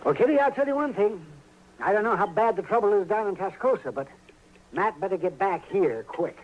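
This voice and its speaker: An actor playing a crotchety, excitable town doctor in an old Western radio drama, flustered and querulous as he sputters along. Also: high voice, fairly quick. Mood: grumbling, indignant. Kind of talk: 1950s Western radio comedy-drama acting. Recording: dull, noisy old radio recording.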